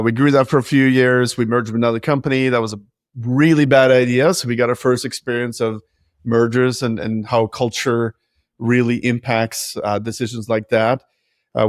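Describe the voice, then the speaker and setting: A man's voice: mid-range voice, no room sound. Man, forties, from Norway, candid, self-deprecating, conversational, dry recording. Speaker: guest, business founder. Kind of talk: casual interview.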